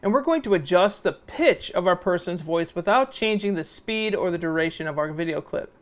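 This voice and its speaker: A man's voice: sits high, animated, a little fast. A man narrating an informal video editing tutorial, explaining step by step and often checking in with a short questioning tag. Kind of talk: software tutorial screencast narration.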